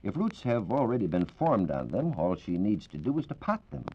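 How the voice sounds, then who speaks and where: An American man explaining science in a measured, precise, formal way as a reporter on a 1940s radio program. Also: lowish voice, sing-song, slightly rough. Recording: dull 1940s radio recording.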